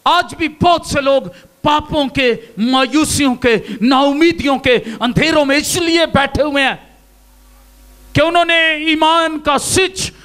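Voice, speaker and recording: A middle-aged man with a Pakistani accent, preaching a sermon into a handheld mic on a stage, shouting at the peaks. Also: very high-pitched, unhurried. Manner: impassioned preaching, shouting at peaks, raising arms. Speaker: pastor. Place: large indoor hall with stage and pulpit. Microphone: handheld microphone.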